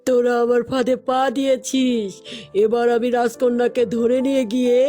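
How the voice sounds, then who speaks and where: A woman's voice: fairly high pitch, flat delivery, normal speed, dark tone, faint music behind, close to an everyday voice. A woman voicing a vengeful, threatening male demon in a children's cartoon, commanding and menacing. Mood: gloating, threatening.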